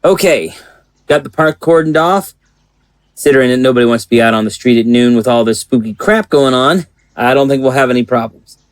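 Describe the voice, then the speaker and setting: A man's voice: mid-range voice, brisk, no room sound, close to an everyday voice. A man playing an earnest, protective small-town sheriff in an audio drama, with a folksy, plain-spoken manner. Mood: earnest, hesitant.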